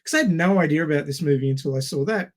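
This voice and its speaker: A man with a high-set voice talks as a podcast co-host. He is conversational and animated, with a wry, sweary way of telling a story. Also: very fast. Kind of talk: casual film discussion.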